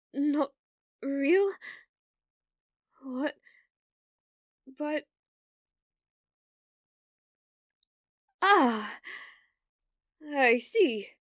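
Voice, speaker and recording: A woman performs a delusional young woman who believes she is a demon lord bound to her beloved. Her high voice moves slowly, with theatrical, formal phrasing and obsessive devotion. It is an ASMR roleplay, recorded close and dry. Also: no echo, heavily acted. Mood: hurt, resolute.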